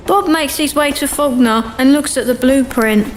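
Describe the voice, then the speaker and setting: A woman's voice: high-pitched, moderate pace. A woman reading scripted narration as the narrator of an amateur radio play. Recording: noticeable background noise.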